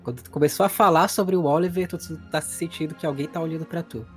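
Quick-talking Brazilian male game master. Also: fairly high pitch, steady tone, soft background music. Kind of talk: improvised tabletop game narration.

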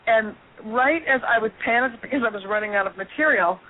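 A hoarse middle-aged woman, a comedian and guest, telling a self-deprecating story in an animated way on a radio interview. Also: on the high side, a little fast. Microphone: telephone. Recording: tinny phone line.

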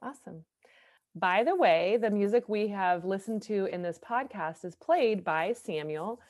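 A woman's voice: medium pitch, expressive, unhurried, a little mellow. A woman hosting a podcast in a conversational interview style. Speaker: podcast host.

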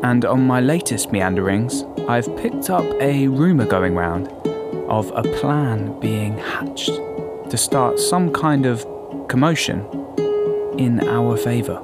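A man's voice: medium pitch, animated, takes it slowly. A man playing a laid-back, curious wandering bird who narrates an audio drama in a casual, wry, musing way. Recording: guitar music loud behind voice.

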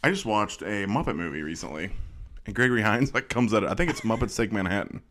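Male co-host, 30s, lowish voice; jokey, upbeat, casual movie chat. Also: animated, quick pace, a bit bright, slight background noise. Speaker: podcast co-host.

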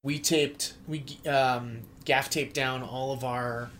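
An upbeat guest chats casually in a mid-pitched voice, chiming in with encouragement. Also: steady tone, slow pace, fairly bright tone, a little hiss or hum. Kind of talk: casual chat.

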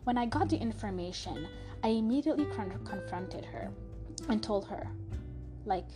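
A young woman whose first language is French tells a personal story in a loose, chatty way, full of fillers and restarts. She speaks with a light French accent at a middle pitch. Music plays clearly underneath her. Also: animated, normal speed. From Canada (Montreal). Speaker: podcast host.

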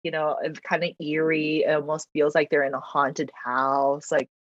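A woman whose first language is Mandarin, chatting as a podcast guest, talkative and quick to laugh, with lots of fillers. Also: sits low, flat delivery, dry recording. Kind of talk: casual interview chat. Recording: dull lo-fi dry recording.

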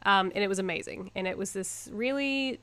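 A woman's voice: mid-range voice, brisk, fairly bright tone, clear, resonant tone, no room sound. A young woman from New Zealand with a New Zealand accent, co-hosting a podcast in casual, chatty talk and laughing easily. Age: young adult. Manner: chatty, laughs often, self-mocking. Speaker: podcast co-host. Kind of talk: casual chat.

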